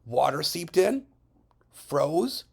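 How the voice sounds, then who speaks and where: Gravelly-voiced man teaching science. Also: fairly high pitch, sing-song, very slow, a little mellow. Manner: chatty, checks in with frequent "okay?". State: voice recovering from hoarseness. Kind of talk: recorded classroom lesson over slides.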